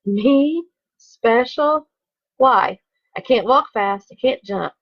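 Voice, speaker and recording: A woman's voice: a little high, lively intonation, slow, no echo, highs cut off, voice pushed up, heavily acted. A woman voicing a timid small male wooden puppet who speaks in an unsure, pleading way.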